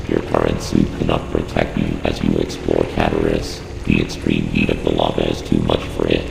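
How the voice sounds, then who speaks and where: A man's voice: extremely low pitch, exaggerated voice. A man voicing a cold, clinical computer AI advisor in a video game, giving formal, impassive briefings with synthetic robotic processing. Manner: measured, impassive, formal, authoritative. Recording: echoey long reverb, clear background noise.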